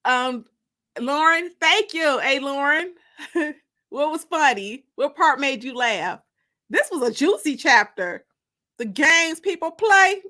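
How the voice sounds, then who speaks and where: High, bright, theatrical woman storyteller. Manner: expressive, theatrical. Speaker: author and host of live story time. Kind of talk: casual livestream chat and dramatic book narration.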